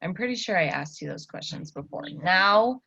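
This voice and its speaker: A woman's voice: lowish voice, expressive, moderate pace, gravelly, sharp tone. A woman teaching a child one-on-one over a video call, chatting casually and encouragingly and echoing back answers. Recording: narrow-band video call sound, faint noise.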